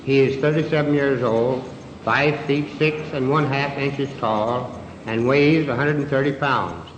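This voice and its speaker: Formal American male detective chief reading aloud, mid-pitched, reverberant hall, clearly noisy old radio sound. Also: fairly even intonation, unhurried, lo-fi sound. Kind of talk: formal read-out police description.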